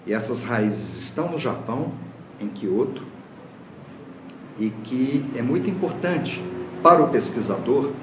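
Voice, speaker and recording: A Brazilian man with a Brazilian Portuguese accent giving a public talk as a Reiki teacher, earnest and unhurried, with some halting restarts. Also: medium pitch, echoey room, a little hiss or hum. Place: lecture room.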